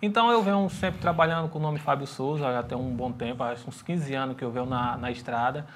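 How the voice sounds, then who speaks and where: A man's voice: medium pitch, fast talker, a little hiss or hum. Earnest young man, Northeastern Brazilian accent. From Bahia, Brazil. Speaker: band singer, guest. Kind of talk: studio interview. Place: TV studio set. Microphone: lapel mic.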